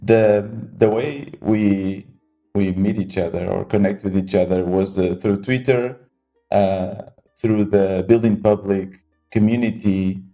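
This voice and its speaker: A man with a fairly low, mellow voice hosts a casual interview. He feels his way through sentences, hesitating and repeating words. Faint music sits under the recording. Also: steady tone, takes it slowly. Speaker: podcast host interviewing startup founders.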